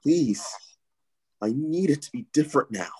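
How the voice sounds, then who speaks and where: A man playing a brooding, anguished young vigilante hero in an animated film table read, speaking earnestly and pleadingly. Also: a little high, normal speed, slightly rough.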